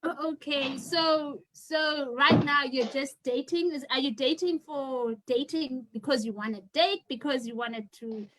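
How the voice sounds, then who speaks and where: Woman host, high bright voice, playful, calls everyone honey on a video call. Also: unhurried, hardly any pauses, slight background noise, rough recording. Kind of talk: casual video-call chat.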